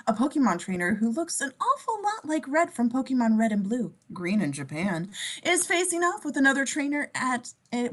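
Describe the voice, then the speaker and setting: A woman with a fairly high, very lively voice narrates a script. She is playful and slangy, slipping from stage directions into casual joking asides. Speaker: scene description reader.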